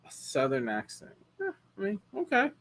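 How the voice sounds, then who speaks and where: Lively rambling American man. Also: sits high, normal speed. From United States. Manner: rambling, many fillers and restarts. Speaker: car rental host answering viewer questions. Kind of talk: casual live chat.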